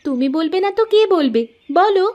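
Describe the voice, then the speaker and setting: A woman plays a young-sounding wife in a cartoon, with a high-pitched voice. She is caring and practical, and her tone is fond with a gently chiding edge. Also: lively intonation, moderate pace, dull audio. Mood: affectionate. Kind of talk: Bengali cartoon dialogue.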